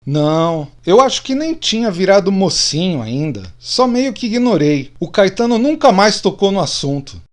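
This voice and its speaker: A middle-aged man played by an actor in a radio play, sharing secrets among old friends. His voice sits rather high for a man and moves up and down in a lively way. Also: moderate pace, hardly any pauses. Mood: confessional. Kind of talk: radio drama acting.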